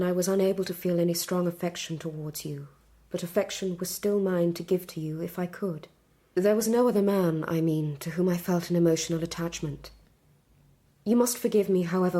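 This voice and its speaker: An actress playing a resolute, unhappy young Victorian bride-to-be with an English accent, speaking formally and gravely in a period audio drama. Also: female voice, on the low side, fairly even intonation, brisk, mellow, lightly acted. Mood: grave, measured. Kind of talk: period radio drama acting.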